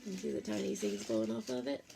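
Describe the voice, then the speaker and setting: A woman's voice: mid-range voice, steady tone, fast, gravelly, over music. A woman, a teacher reading a picture book aloud to young children, with simple explanatory asides and questions for her listeners.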